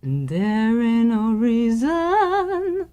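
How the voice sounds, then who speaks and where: A woman's voice: on the high side, big pitch swings, drawn-out words, warm tone. A woman singing a show tune live as a jazz standard in a radio studio, accompanied by piano. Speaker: singer.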